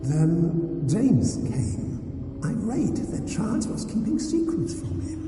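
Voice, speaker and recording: A man's voice: high-pitched, full character voice. An actor playing a cold, scheming male doctor reading private case notes in a game voice-over. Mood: wary. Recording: echoey long reverb, music behind.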